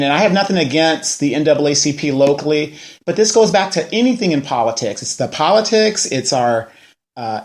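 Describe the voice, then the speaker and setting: A man in his forties hosting a local news show, ranting in a casual way and firing off rhetorical questions. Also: fairly high pitch. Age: 40s. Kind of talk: casual political commentary.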